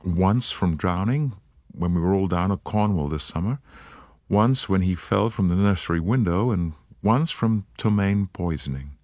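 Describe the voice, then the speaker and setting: A man voicing a determined American woman of about thirty with an American accent, earnest and quick. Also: low voice, expressive, darkish tone, no room sound, studio quality. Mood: worried.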